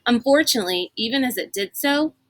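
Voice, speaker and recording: Female read-aloud narrator, higher-pitched, bright and forceful, expressive storybook style. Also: a little fast, slight background noise.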